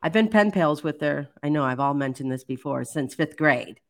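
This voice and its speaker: Older lady w a really low voice, chatty, laughs a lot, live show host. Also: expressive, moderate pace. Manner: chatty, laughs easily, repeats words. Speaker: livestream co-host. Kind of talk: casual live chat.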